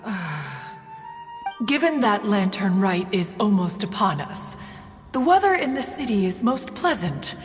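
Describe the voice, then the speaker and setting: Haughty ancient female immortal, stately archaic diction, unhurried, long reverb, music behind, video game. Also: medium pitch, sing-song. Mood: awkward. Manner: stately, imperious, formal, calling herself "one". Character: haughty, proud ancient female adeptus, archaic formal speech.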